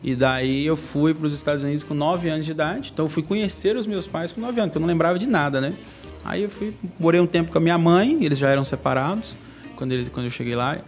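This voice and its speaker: Fast-talking young man telling his story. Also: on the high side, soft background music. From Brazil. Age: young adult. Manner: fast, slangy, candid, laughs between lines. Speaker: podcast guest. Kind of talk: casual storytelling interview.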